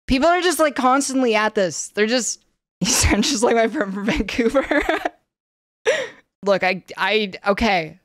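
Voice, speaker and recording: A young Canadian woman on a casual livestream, reading questionnaire items aloud and answering in a flat, slightly monotone way, full of "like" and "um.". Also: fairly high pitch, unhurried, no room sound, clean studio sound. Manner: flat, slightly monotone delivery with frequent fillers. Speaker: streamer. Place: indoor room, streaming setup.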